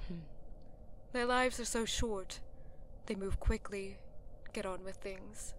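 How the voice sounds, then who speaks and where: A woman's voice: medium pitch, steady tone, slow, close to an everyday voice. A wistful immortal war goddess, played by a woman with a mid-range voice. She is proud yet melancholy, in an audio drama with some noise behind her.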